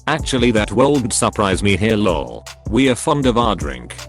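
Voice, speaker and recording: Animated male story narrator, music behind. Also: on the low side, moderate pace. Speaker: narrator reading online stories. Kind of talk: scripted narration of forum posts.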